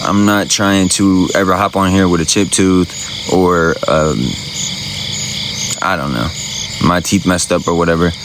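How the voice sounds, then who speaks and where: A man with a very low voice chats to his viewers in a loose, rambling, slangy way. He is streaming from a phone outdoors at night, with crickets and insects loud behind him. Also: steady tone, measured pace, pauses often, background noise. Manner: laid-back, rambling, slangy. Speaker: livestreamer. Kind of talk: casual livestream chat answering viewers.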